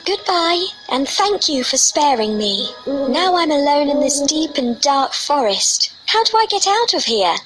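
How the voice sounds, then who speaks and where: A woman's voice: sits high, sing-song, unhurried, a bit bright, faint music behind, exaggerated voice, rough recording. A woman playing a polite, earnest young princess in a children's fairy tale, with a girlish manner. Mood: anxious.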